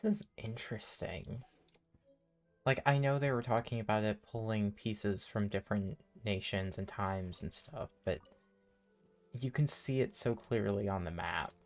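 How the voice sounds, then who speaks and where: Casual gameplay commentator, medium pitch, gravelly fry throughout, unscripted streamer chatter. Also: a little slow, a little mellow, faint music behind. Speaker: video game streamer.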